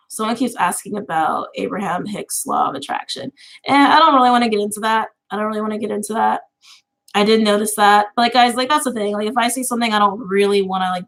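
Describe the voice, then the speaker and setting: A woman's voice: medium pitch, fairly bright tone. Chatty woman in her twenties. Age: 20s. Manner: chatty, laughs easily, lots of fillers. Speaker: tarot channel host. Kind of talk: casual livestream chat.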